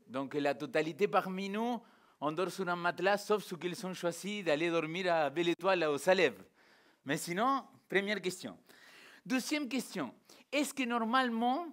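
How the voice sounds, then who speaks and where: High-pitched male pastor preaching informally. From Argentina. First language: Spanish. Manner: animated rhetorical questions, addressing listeners directly. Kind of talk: informal interactive sermon to a congregation.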